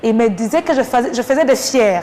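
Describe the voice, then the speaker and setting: A woman from Rwanda, a teacher talking thoughtfully in an interview with many pauses and fillers. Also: a little high, lively intonation, dark tone. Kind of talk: casual interview talk. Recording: reverberant room with faint noise.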